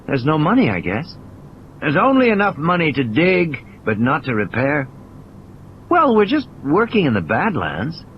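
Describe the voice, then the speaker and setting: Male narrator as concerned woman, questioning, brief hesitant replies, audio play, lively intonation, faint background noise. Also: a little high, moderate pace, dull audio. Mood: reluctant admission. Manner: short probing questions and hesitant admissions.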